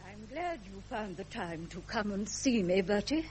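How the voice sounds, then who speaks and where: A woman's voice: mid-range voice, big pitch swings, normal speed, a little mellow, a little hiss or hum, lightly acted. An actress with a British accent playing a disapproving older queen and mother in a period drama. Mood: cool reproach.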